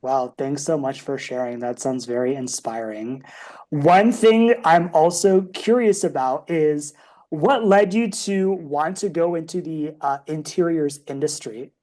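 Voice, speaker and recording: A woman hosting a podcast, welcoming a guest and asking measured, friendly interview questions. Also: low-pitched, dark tone. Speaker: podcast host.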